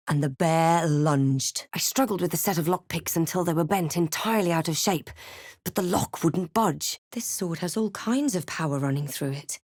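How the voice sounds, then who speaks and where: Low-voiced, wry fantasy adventurer heroine. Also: female voice, no room sound, studio quality. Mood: tense narration, then wry, matter-of-fact. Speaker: video game player-character voice actor. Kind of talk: scripted game narration and barks.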